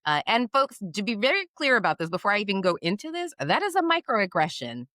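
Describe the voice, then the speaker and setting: A woman hosting an interview, asking thoughtful questions and speaking directly to her listeners in a measured, reflective way. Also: medium pitch, sing-song, bright, forceful tone, dry recording, studio quality. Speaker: host, equity strategist. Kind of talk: conversational interview.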